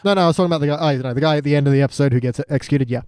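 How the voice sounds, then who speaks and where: A man co-hosting a podcast, chatting casually about a show and retelling it in an animated, loose way. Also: a little high, very fast, clear, resonant tone, dry recording, clean studio sound. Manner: animated retelling with mocking paraphrased dialogue and swearing. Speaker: podcast co-host. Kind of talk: casual review chat.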